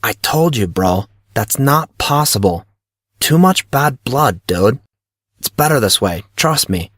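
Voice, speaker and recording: A man's voice: medium pitch, animated, takes it slowly, a bit bright, dry recording, clean studio sound. A man playing a cocky, breezy teenage schoolboy who talks in slangy, self-assured teasing for an audio drama. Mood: defensive. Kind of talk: audio drama character reading.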